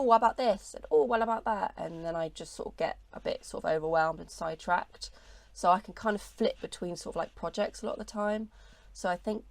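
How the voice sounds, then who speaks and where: A woman's voice: fairly low pitch, creaky, no echo. A woman with a cold, sounding nasal, rambling casually through a vlog update about her small art business with lots of fillers. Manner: rambling, many fillers and hedges. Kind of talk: casual vlog chat to camera. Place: home studio.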